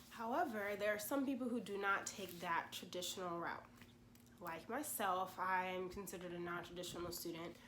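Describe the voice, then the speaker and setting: A woman's voice: mid-range voice, a bit bright, slight background noise. A young woman from Louisiana, chatting through a vlog while congested from a cold, with lots of "you know" asides. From Louisiana, USA. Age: 20s. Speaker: vlogger and pre-med gap-year student. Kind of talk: casual explanatory vlog talk.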